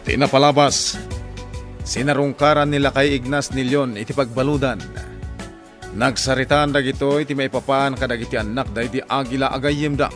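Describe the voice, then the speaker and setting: A man's voice: medium pitch, fairly even intonation, moderate pace, a bit bright, soft background music. A man reading the dramatic scripted narration of a radio drama.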